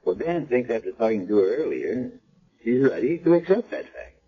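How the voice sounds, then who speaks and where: An actor playing a brisk, inquisitive, informal hospital doctor in 1970s radio drama style. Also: male voice, medium pitch, big pitch swings, faint music behind, lightly acted. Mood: questioning. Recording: dull vintage radio recording.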